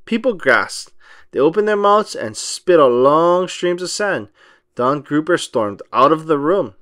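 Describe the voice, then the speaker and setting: Male kids' read-aloud narrator: higher-set pitch, big melodic swings, expressive delivery. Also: measured pace, no echo, clean studio sound. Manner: expressive, acts out dialogue. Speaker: storybook reader.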